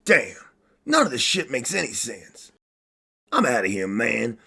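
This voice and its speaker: A man voicing a brash, impatient, foul-mouthed male adventurer with loud, slangy delivery in a comedic game parody. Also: medium pitch, wildly swooping pitch, measured pace, vocal fry, slightly rough, exaggerated voice.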